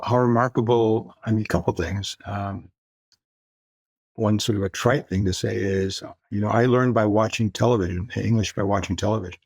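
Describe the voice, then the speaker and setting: A man's voice: lowish voice, creaky, husky, no room sound. An older man from the Far East, first language Mandarin, talking reflectively as a guest author in a podcast interview, searching for words with light laughs.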